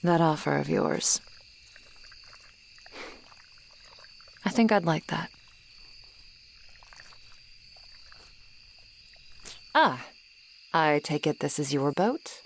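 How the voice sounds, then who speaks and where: A woman's voice: deep voice, vocal fry, slight background noise. A woman playing an ageless tsundere swamp monster girl, sardonic and grudgingly kind, in an ASMR roleplay. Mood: softening, shyly touched. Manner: slow, sardonic, haughty but softening. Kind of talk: ASMR roleplay acting, addressed to the listener. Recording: night swamp ambience with insects behind. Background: crickets and insect ambience.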